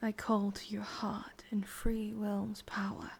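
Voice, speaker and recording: A woman with an English accent playing a sadistic, possessive witch in a hushed, breathy ASMR roleplay, slow and coaxing. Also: medium pitch, little pitch movement, exaggerated voice. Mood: tender, incantatory.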